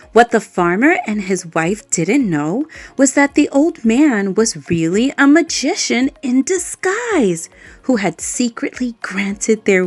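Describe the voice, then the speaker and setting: A woman reading a children's story aloud, expressive and adding playful little asides to her listeners. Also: on the high side, dry recording. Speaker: storybook reader. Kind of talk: bedtime story read-aloud. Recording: faint background music.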